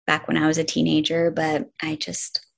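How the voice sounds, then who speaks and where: A woman's voice: deep voice, monotone, normal speed, vocal fry. A woman in her forties from upstate New York, a yoga and self-love teacher, talking reflectively as an interview guest with many "like" fillers. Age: 40s. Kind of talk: casual interview chat.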